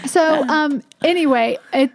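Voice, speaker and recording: Female podcast co-host, high bright-pitched voice, chatty and warm, conversational delivery. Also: measured pace. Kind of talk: warm casual podcast chat.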